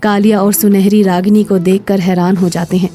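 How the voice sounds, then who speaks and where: A woman's voice: mid-range voice, little pitch movement, brisk, warm tone. A woman narrating a scripted story as its storyteller.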